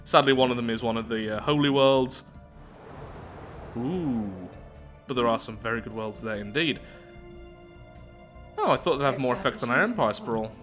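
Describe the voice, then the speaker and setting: A man's voice: medium pitch, normal speed, long pauses, over music. A man giving casual commentary on a strategy game playthrough, thinking aloud as he goes. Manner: thinking aloud, musing to himself.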